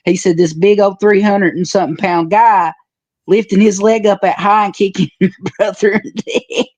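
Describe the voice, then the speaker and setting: Higher-voiced folksy man in his forties. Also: fairly even intonation, moderate pace, darkish tone, no room sound. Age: 40s. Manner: folksy storyteller with colloquial rural phrasing. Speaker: retired pro wrestler, podcast guest. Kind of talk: casual reminiscing chat.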